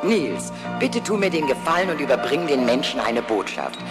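A man's voice: medium pitch, big pitch swings, moderate pace, natural voice. Man as solemn wild goose in long reverb. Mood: solemn pleading. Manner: solemn, pleading. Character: solemn, gentle wild goose leader pleading for animals. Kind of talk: cartoon audio drama acting. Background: music.

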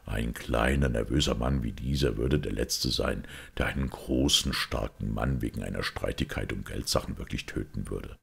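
A man playing a calm, shrewd priest detective who explains quietly and with compassion, in a measured audiobook reading. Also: rumbling low, creaky, husky, full character voice. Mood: explaining.

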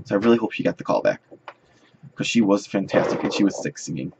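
A man in his twenties with a lowish, fry-laden voice talks casually to the camera. He rambles and halts as he tells his story. The recording is muffled and lo-fi. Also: animated, fast talker, background noise. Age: 20s. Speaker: vlogger. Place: indoors in front of a draped backdrop. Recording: dull, lo-fi webcam-style sound.

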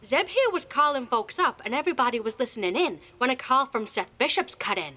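A woman plays a frightened country villager in a horror audio drama, stammering and tripping over her words. Her voice sits high and swings wildly up and down. It has a bright, tense edge. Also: expressive, fairly quick, full character voice. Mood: shaken. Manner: breathless stammering panic. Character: terrified rural villager woman.

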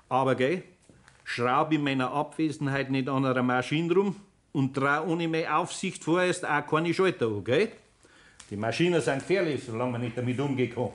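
Actor as patient Bavarian master carpenter. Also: male voice, mid-range voice, close to an everyday voice. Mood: patient, instructing. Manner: homely, deliberate, instructing. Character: patient but firm older master carpenter. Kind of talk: 1960s German radio play acting.

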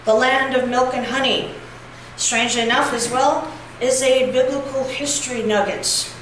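A woman's voice: high voice, fairly even intonation, a bit bright, recorded from a distance, a little hiss or hum. Woman preaching in an echoey church. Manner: reflective, measured preaching with occasional fillers. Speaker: pastor. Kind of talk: sermon. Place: church sanctuary, at a pulpit. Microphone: far camera.

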